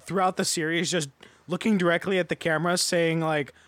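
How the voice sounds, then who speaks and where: A man's voice: on the high side, fairly even intonation, brisk, fairly bright tone, no room sound. A man chatting casually as a podcast co-host, with sarcastic, profane humour. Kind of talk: casual comedic chat.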